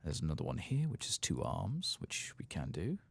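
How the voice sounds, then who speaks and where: A man doing a soft-spoken ASMR game playthrough, murmuring musing asides and sometimes dropping toward a whisper. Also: deep voice, wildly swooping pitch, normal speed, gravelly, breathy edge. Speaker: gaming ASMR host.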